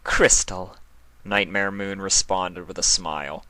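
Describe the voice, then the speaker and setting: A man reading scripted fan fiction narration in a measured, expressive way. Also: deep voice, a crowd in the background.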